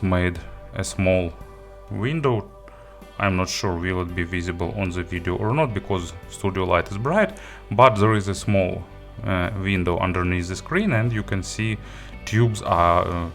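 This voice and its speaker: A man who is not a native English speaker gives an unscripted product review, haltingly, often searching for words and rephrasing. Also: sits low, a bit bright. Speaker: audio gear reviewer and host. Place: indoor studio.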